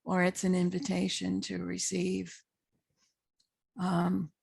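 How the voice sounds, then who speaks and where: A woman leads a guided meditation in a lowish, husky voice full of deep creak. She places short phrases carefully, leaving long pauses between them. The sound is close and dry. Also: monotone, darkish tone, dry recording. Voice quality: husky, gravelly low voice. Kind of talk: guided meditation, unscripted spiritual talk.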